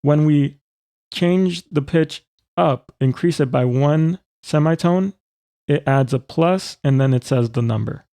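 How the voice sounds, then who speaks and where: Male software tutor, informal and methodical. Relaxed, fry-edged delivery, dry sound. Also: fairly high pitch, unhurried, dry recording. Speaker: tutorial presenter. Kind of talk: informal step-by-step software demonstration.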